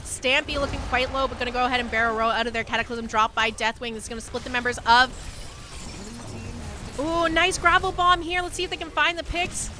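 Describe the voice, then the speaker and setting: A woman esports caster giving live play-by-play game commentary with an excitable, urgent delivery. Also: sits high, a little fast, background noise.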